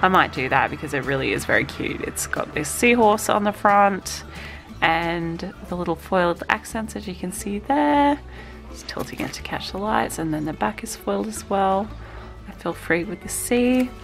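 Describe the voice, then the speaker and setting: A woman's voice: medium pitch, normal speed, creaky, soft background music. Chatty woman with a stuffy cold. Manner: chatty and excitable, cooing over small items. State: slightly nasal from a cold. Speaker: vlogger unboxing a stationery subscription box. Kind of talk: casual unboxing chat.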